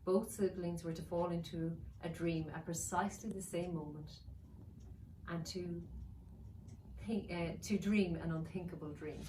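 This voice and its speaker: A woman children's author talks and reads a story aloud for children. Her voice is on the low side, recorded a little away from the mic in a roomy space with echo. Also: steady tone, measured pace, mellow. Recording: roomy, distant mic, faint noise.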